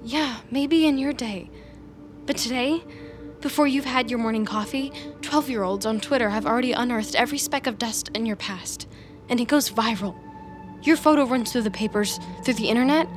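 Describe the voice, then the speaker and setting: A woman's voice: a little high, moderate pace, over music, close to an everyday voice. A woman playing an intense, wounded, obsessive young woman in an audio drama, talking in a wordy, sarcastic, insistent way. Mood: urgent, pressing. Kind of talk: audio fiction drama acting.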